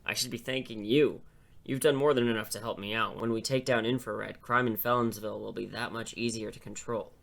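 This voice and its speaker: A man playing a dry, self-assured high school English teacher in an audio drama, talking in a casual, rambling way. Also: steady tone, a little fast, creaky, a bit bright. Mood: reassuring.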